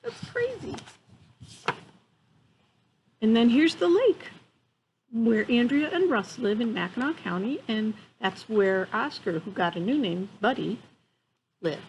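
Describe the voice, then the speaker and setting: Woman in her fifties, high-pitched, lively intonation, storytime read-aloud, amused asides, outdoor recording. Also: slow pace, long pauses, poor audio. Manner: animated, amused, exclaiming. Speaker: children's storyteller. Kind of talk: reading a picture book aloud with chatty asides. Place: outdoors on a wooden deck among trees. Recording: faint outdoor ambient noise.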